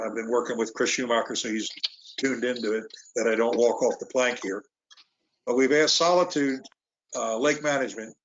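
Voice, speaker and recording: A man giving a rambling report at a committee meeting over a video call, with frequent fillers and restarts. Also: medium pitch. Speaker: work group chair giving a report. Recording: muffled video call audio.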